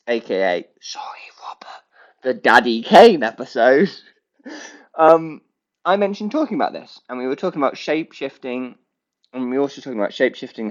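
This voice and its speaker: A man's voice: medium pitch, lo-fi sound. A man hosting his own podcast alone, rambling and correcting himself in a goofy, playful way, with a blocked, sniffly nose. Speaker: podcast host. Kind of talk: casual solo podcast rambling.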